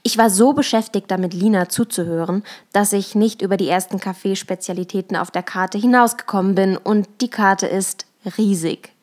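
A woman's voice: medium pitch, moderate pace, few pauses, darkish tone. A young adult woman reading her own short story as a first-person literary narrator. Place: improvised desk studio at home.

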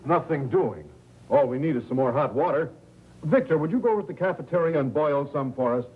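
A man's voice: fairly high pitch, lively intonation, a little fast, slightly rough, phone-quality sound. A man playing an earnest, self-assured young biology teacher in a 1950s sitcom. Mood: confident, earnest. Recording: vintage television soundtrack.

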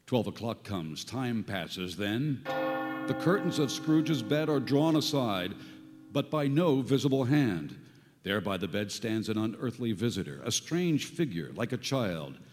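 A man's voice: mid-range voice, very animated, measured pace. A middle-aged man playing the grave narrator of a ghost story in a staged radio play. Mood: grave, suspenseful. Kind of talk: scripted dramatic narration. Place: on stage in a large theatre. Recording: theatre PA, reverb, music behind. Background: music.

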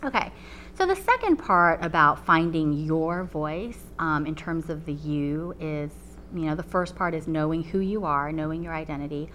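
A low-pitched woman professor speaks in a measured, explanatory way, often pausing on "um". Her first language is Chinese. She sits in a TV studio, and a faint hum runs under the voice. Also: animated, fairly bright tone. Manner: measured, explanatory, frequent ums. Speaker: college professor. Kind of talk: recorded talk to a webinar audience. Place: TV studio with a painted backdrop.

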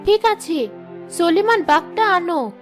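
A woman plays a haughty young princess from a cartoon fairy tale. Her voice is extremely high and girlish. Faint music plays underneath. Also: animated, takes it slowly, heavily acted. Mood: commanding.

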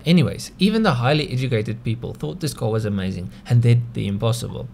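A man's voice: medium pitch, animated, fast talker, gravelly, a little mellow. South African man narrating over background noise. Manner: conversational, rhetorical questions. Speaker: video host. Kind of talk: scripted narration for an explainer video.

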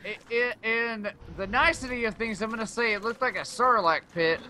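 A man's voice: high-pitched, moderate pace, a crowd in the background. A man in a casual gaming chat, bantering in a playful, silly way with his co-op partner.

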